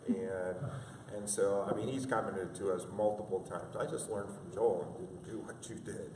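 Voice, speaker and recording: A man in his fifties, a father, reflecting in a halting, filler-heavy way. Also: sits low, steady tone, darkish tone, slight background noise. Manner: halting, many fillers and restarts. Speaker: interview guest, father. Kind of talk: reflective conversational interview. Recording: echoey room from a distance.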